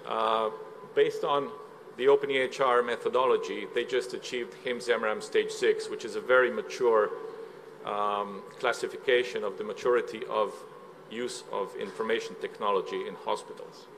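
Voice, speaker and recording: A man from Slovenia giving a measured, explanatory conference presentation, with frequent filler sounds. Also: on the low side, steady tone, noisy background. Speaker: conference speaker. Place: conference hall.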